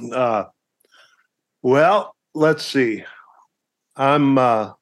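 Male therapist as a podcast guest, halting and hesitant, middle-pitched voice. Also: animated. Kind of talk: interview conversation.

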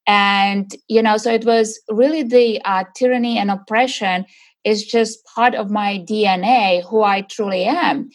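A woman's voice: medium pitch, steady tone, measured pace. Earnest middle-aged woman. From Czechoslovakia. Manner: earnest, searching, many fillers and restarts. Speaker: guest. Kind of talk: conversational interview answers.

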